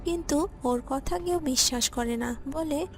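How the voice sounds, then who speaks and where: A female narrator with a very high voice tells a cartoon story from a script. Music plays clearly underneath her narration. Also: measured pace.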